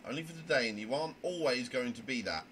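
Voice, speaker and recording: A man's voice: mid-range voice, expressive, fast, fairly bright tone, close to an everyday voice. Man acting out a flustered, indignant young trainee, noisy recording. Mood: indignant, worked up. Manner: earnest, flustered, stammering with indignation. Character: anxious, indignant, rule-bound young trainee mediator, Winter Harrison. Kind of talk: amateur voice acting of a visual novel.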